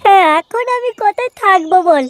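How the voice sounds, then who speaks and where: A man voicing an aggrieved, foul-mouthed cartoon man with a West Bengali accent, whiny and indignant, through a pitched-up effect. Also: brisk, a bit bright, soft background music. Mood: whining. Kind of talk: crude comedy cartoon dialogue.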